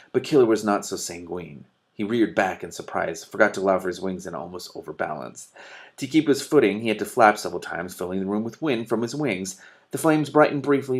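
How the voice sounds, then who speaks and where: A man's voice: sits low, vocal fry. A man reading a book aloud expressively, with informal, enthusiastic commentary. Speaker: reader and commentator.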